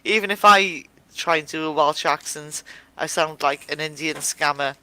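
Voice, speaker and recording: A woman's voice: sits low, moderate pace, pushed and bright, low-quality recording. A woman chatting on a live stream, cracking jokes and humming silly tunes. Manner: jokey, sings silly bits. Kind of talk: casual chat on a live stream.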